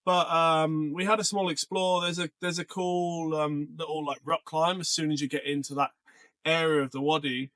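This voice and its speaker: A man co-hosting a podcast, chatting casually and telling stories in a rambling, animated way. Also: a little high, normal speed, no echo. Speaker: podcast co-host. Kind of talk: casual podcast chat about off-road adventures.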